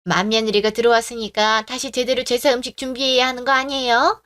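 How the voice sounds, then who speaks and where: A woman's voice: fairly high pitch, little pitch movement, brisk, natural voice. A woman voicing a stubborn, old-fashioned older mother-in-law who talks in an indignant, insistent way for a radio drama. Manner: indignant, insistent, sharp-tongued.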